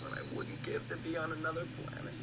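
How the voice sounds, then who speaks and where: A man's voice: fairly high pitch, wildly swooping pitch, fast talker, bright, forceful tone, a little hiss or hum, heavily acted. An actor playing an eager, restless, dreamy and defensive young farm boy in a 1980s radio drama. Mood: exasperated. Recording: 1981 radio drama with music behind.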